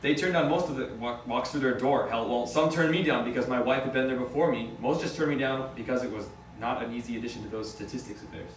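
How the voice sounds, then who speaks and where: A man acts out an accused businessman at a table read, quick in speech, worldly and resigned. The recording is roomy and distant, with his words stumbling now and then. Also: mid-range voice, close to an everyday voice. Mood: businesslike. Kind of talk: amateur script table read. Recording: distant, echoey room with faint noise.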